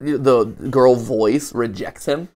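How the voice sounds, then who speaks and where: A man's voice: mid-range voice, steady tone, slow, darkish tone. A man hosting a show in a casual, conversational way, talking through theories and reactions. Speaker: host.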